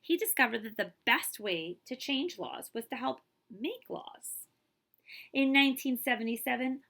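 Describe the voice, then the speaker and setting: A woman librarian reading a picture book aloud to children, with expressive, emphatic phrasing and casual asides. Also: a little high, creaky.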